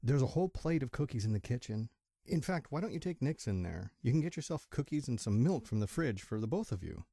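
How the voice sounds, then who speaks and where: A man's voice: mid-range voice, very animated, brisk, gravelly, warm tone, dry recording. A man playing a kindly middle-aged stallion father in an amateur audio drama, folksy and affectionate. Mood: cheerful.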